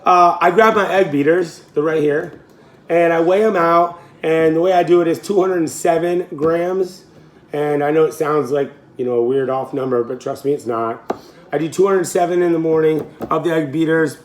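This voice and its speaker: A man's voice: fairly high pitch, fairly even intonation, moderate pace. Rambling, chatty man in his late thirties. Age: 30s. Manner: rambling and self-correcting, with easy laughs. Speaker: fitness coach. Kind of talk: casual cooking vlog.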